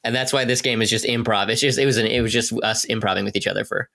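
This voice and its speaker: A man's voice: lowish voice, fairly even intonation, racing, creaky, pushed and bright. A man chatting casually as a podcast cast member, with a breezy and blunt way of putting things. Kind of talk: casual chat.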